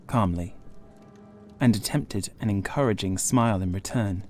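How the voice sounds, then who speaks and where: Guy narrating gothic horror audiobook, lowish dark soft-toned voice, formal and measured, bit of music behind. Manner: measured, formal, literary delivery. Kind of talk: scripted Gothic horror narration, first person. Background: faint music.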